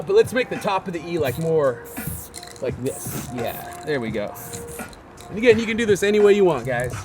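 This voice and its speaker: A man hosting a graffiti how-to, his voice hoarse, chatting casually and rambling in slang while he paints outdoors. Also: high voice. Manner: laid-back, rambling, slangy. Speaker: graffiti artist and channel host. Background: hip hop backing beats.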